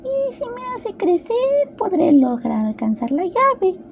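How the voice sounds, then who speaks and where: A woman's voice: extremely high pitch, sing-song, takes it slowly, vocal fry, a little hiss or hum, voice pushed up, heavily acted. A woman voicing an anxious, curious little girl who talks earnestly to herself in a storybook audio drama. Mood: hopeful reasoning.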